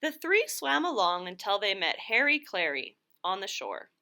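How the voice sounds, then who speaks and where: Animated woman reading a children's storybook. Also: medium pitch, moderate pace. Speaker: storybook reader. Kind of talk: animated children's read-aloud.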